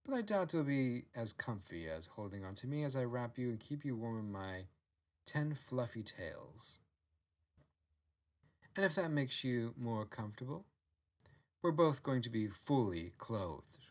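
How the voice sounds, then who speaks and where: A man's voice: medium pitch, sing-song, unhurried. A man voicing a proud, self-assured fox queen who commands and coaxes in a storybook narration. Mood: coaxing, proud.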